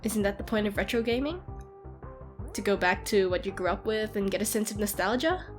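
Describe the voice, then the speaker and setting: A woman playing an excitable, teasing, nerdy gamer girlfriend in a casual audio roleplay. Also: mid-range voice, brisk, natural voice. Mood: casual, curious. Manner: giggly, playfully ranting, animated casual talk. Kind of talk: audio roleplay, casual one-sided chat to a partner. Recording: background music under the voice. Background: game-like ambient music.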